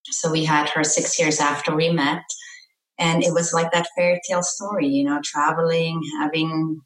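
A woman's voice: low voice, flat delivery, moderate pace. A middle-aged woman from Austria, a parent coach, chatting earnestly and cheerfully in an interview. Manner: earnest, upbeat, laughs easily. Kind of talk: casual interview chat. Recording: echoey room, voice a bit far from mic.

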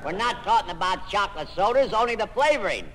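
A man's voice: sits high, animated, quick pace. A man playing a sarcastic, long-suffering quizmaster in an old-time radio comedy quiz, sardonic and exasperated. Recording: noisy old radio broadcast, echoey hall.

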